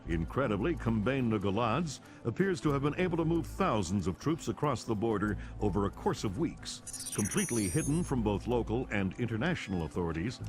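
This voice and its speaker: Man playing a serious, animated news anchor. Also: mid-range voice, over music, close to an everyday voice. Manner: formal, measured newsreading. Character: male television news anchor, serious, urgent. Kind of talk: scripted news bulletin in a game.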